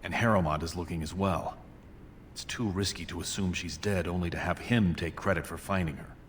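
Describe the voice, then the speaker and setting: Man playing a low-voiced scheming dwarf prince. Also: slight background noise, lightly acted. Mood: calculating. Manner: formal, measured, persuasive. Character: scheming male dwarf prince, courtly and calculating. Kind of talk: video game dialogue acting.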